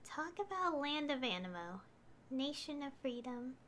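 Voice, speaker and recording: A woman's voice: high voice, sing-song, unhurried, fairly bright tone, slight background noise. A woman streaming a video game, casually commenting and reading choices out. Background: music.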